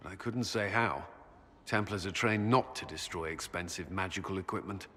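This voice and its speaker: An actor playing an earnest, guarded former knight turned military commander in a video game drama, speaking softly and formally with some hesitation. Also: male voice, sits low, normal speed, husky. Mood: grave, determined.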